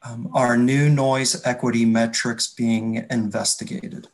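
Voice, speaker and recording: A middle-aged man moderates an online webinar with little melody in his voice. His pitch is mid-range and he reads questions out in a measured, slightly hesitant way. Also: little pitch movement. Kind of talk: reading out audience questions in a webinar. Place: indoors on a video call. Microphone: computer video-call microphone.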